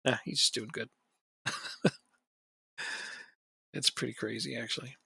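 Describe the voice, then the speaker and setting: A man's voice: mid-range voice, flat delivery, unhurried, vocal fry, pushed and bright, breathy edge, dry recording. A man chatting casually on a live stream while playing a football management game, rambling and joking and chuckling often.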